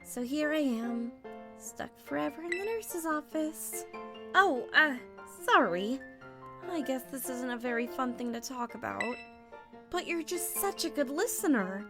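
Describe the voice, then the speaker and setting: A woman's voice: high voice, expressive, a little slow, over music. A woman playing a friendly, chatty, self-deprecating school nurse who confides casually, in a fan dub. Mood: wistful, confiding.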